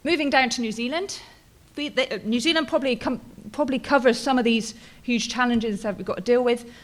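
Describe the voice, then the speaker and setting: British woman in her 30s, fairly high voice, relaxed conference presenter, chatty with filler words. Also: fairly quick. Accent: British English. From England, Bristol. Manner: casual and chatty, frequent ums and tag questions. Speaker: postdoctoral researcher presenting.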